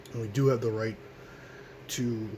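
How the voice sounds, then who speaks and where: A hoarse man in his twenties from the United States hosting his own radio show, speaking in a halting, earnest way full of ums and restarts. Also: fairly low pitch, noisy background. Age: 20s. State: hoarse, coughing. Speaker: host of a home radio show. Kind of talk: solemn remembrance monologue.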